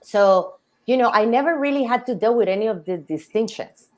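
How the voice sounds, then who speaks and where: A woman's voice: on the high side, animated, fairly quick, a little mellow. A woman from Colombia, hosting a casual live-stream conversation, chatty and full of "you know" fillers. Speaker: city council candidate hosting a live stream.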